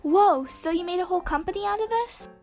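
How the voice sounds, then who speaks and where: A woman's voice: very high-pitched, a little fast, a little mellow, soft background music. A woman playing a curious, girlish young visitor in a scripted radio drama. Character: curious young girl, time-travelling visitor.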